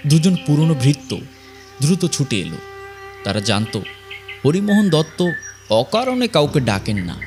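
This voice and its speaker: A man narrates a spooky tale with dramatic pauses. His phrasing is measured and full of suspense. A clear music bed runs underneath. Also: fairly high pitch, very animated. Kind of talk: dramatic scripted ghost-story narration.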